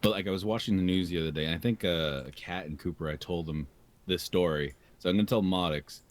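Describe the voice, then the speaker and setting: A man co-hosting a podcast, chatting in an animated, rambling way, with frequent laughs and a steady stream of fillers. His voice sits slightly low. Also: fast. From United States. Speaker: podcast co-host. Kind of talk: casual chat, storytelling.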